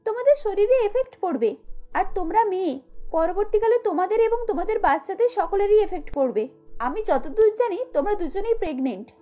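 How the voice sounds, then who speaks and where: Woman voicing a high, gentle young village daughter-in-law. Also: expressive, a little fast. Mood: concerned, earnest. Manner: polite, earnest, caring. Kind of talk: cartoon story dialogue. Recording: dull lo-fi audio with music bed.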